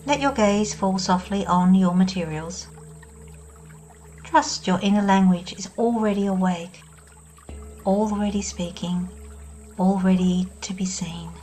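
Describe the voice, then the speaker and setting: A woman leads a guided meditation in a gentle, soothing voice of middle pitch. She speaks very slowly, letting long pauses settle between short phrases. Faint music plays softly underneath.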